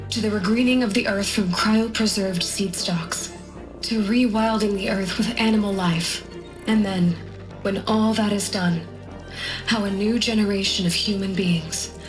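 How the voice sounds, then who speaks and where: Brief: female actor, visionary game scientist, earnest recruiting speech, mid-pitched voice. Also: close to an everyday voice. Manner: earnest, rousing, carefully paced speech. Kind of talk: recorded speech in a game cutscene. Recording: game audio with loud music.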